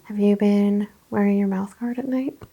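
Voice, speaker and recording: A woman doing a soft-spoken ASMR chiropractor roleplay, gently explaining and asking questions in short phrases, often slipping into a whisper. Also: medium pitch, fairly even intonation, moderate pace, gravelly, dull audio. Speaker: ASMR host playing a chiropractor.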